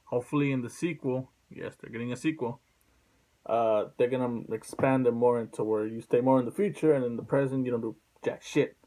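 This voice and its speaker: Man, mid-range voice, casual vlog host, blunt, profane, halting, rambling. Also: medium pitch, warm tone, poor audio. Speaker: video opinion host.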